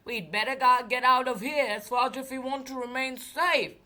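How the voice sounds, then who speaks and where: Woman voicing a blunt giant hairy ape lady, high-pitched. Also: moderate pace, bright, forceful tone. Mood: urgent. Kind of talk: children's storybook read-aloud.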